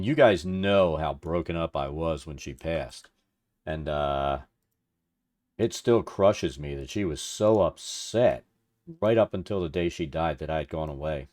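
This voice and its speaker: A middle-aged man with a low, deep voice that suits radio. He hosts a livestream in an informal, rambling way, thinking aloud as he works and chatting with viewers. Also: darkish tone. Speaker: livestream host. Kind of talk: casual chat with stream viewers while working.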